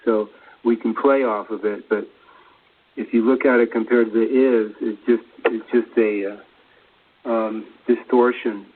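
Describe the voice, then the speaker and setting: A man's voice: fairly low pitch, fairly even intonation, a little slow. A man chatting casually about spiritual ideas, hesitant, searching for words and repeating himself. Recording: narrow phone-like sound.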